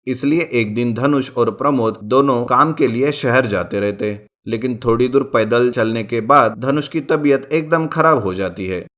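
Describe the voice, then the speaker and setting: A man reading a scripted folk tale as a storyteller, in narration for an animated story. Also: medium pitch, measured pace, hardly any pauses. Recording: music bed behind the voice. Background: background music.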